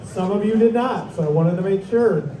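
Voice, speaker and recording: Joking middle-aged emcee in echoey hall. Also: male voice, high voice, moderate pace, warm tone, far from the mic. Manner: jokey, teasing, upbeat. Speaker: event emcee. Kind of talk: emcee banter introducing players. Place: large indoor event hall. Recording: echoey hall from afar with crowd.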